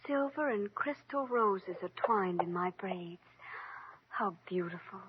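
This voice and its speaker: A woman's voice: medium pitch, expressive, unhurried, close to an everyday voice. An actress playing a sweet, earnest young heroine full of girlish wonder in an old-time radio drama. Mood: wonder, delight. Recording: dull old radio recording with hiss.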